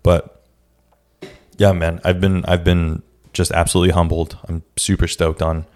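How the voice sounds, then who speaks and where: A young man hosting his own podcast, talking in a casual, reflective way with a raspy voice and many restarts. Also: low-pitched, flat delivery, normal speed, a little mellow. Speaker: podcast host. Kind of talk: casual solo podcast talk.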